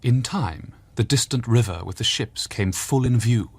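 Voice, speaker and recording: An actor playing an eloquent Victorian storyteller, reading literary passages in a measured, expressive and dramatic way. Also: male voice, medium pitch, breathy edge, no room sound. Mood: reverent, nostalgic. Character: Charles Dickens, eloquent Victorian storyteller recounting memories. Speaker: reader of Dickens passages.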